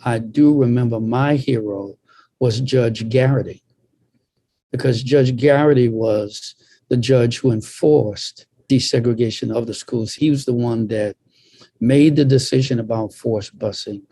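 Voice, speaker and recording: Older american guy from boston, earnest, telling his memories on a panel, soft dark mellow voice. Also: medium pitch, fairly even intonation, measured pace, no room sound, rough recording. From Boston, United States. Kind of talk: personal recollection in a panel discussion.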